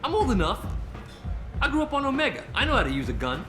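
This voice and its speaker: Cocky young merc kid, male actor, swinging pitch. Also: wildly swooping pitch, fast, music in the background, heavily acted. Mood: defensive, eager. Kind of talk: video game voice acting.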